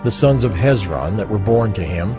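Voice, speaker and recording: A man reading scripture as scripted, dramatized narration, in a solemn, measured manner with deliberate pauses. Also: on the low side, expressive. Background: background music.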